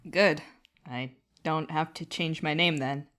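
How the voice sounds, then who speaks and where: A woman's voice: low voice, steady tone, slow, fairly bright tone. Proud older male alien psionic played by a woman, terse, mischievous, smug audio-story role. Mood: smug, dry.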